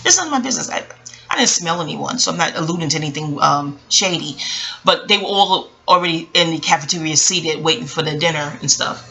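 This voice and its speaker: A woman speaking casually and reflectively about her own experience, rambling with emphatic pauses and frequent self-corrections. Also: sits low, expressive, creaky, bright, forceful tone, low-quality recording. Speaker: vlogger. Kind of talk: casual reflective personal storytelling.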